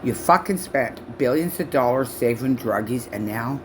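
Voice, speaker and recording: A woman in her sixties from Canada ranting loudly and profanely to camera on her own channel, her speech halting from false teeth. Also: a bass voice, animated, background noise. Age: 60s. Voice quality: loud voice. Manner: shouting, profane, accusatory. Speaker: vlogger. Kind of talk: angry rant to camera.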